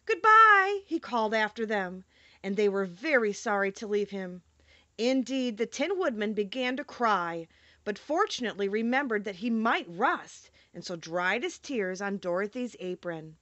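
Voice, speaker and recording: A woman's voice: medium pitch, expressive, moderate pace, a bit bright, no echo, muffled recording. A woman reading a children's storybook aloud as an audiobook narrator. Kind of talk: expressive scripted storybook narration.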